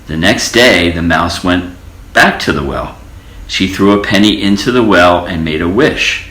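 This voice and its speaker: A man reading a children's storybook aloud as an expressive storyteller, in an echoey room. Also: low-pitched, a little slow. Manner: expressive storytelling with character voices. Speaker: storybook reader.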